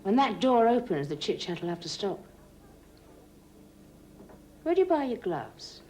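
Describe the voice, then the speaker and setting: A woman's voice: mid-range voice, big pitch swings, measured pace, mellow, a little hiss or hum. An actress playing a stern female investigator who presses a suspect with threats. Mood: pressing. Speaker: security officer questioning a suspect. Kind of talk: 1980s TV drama acting.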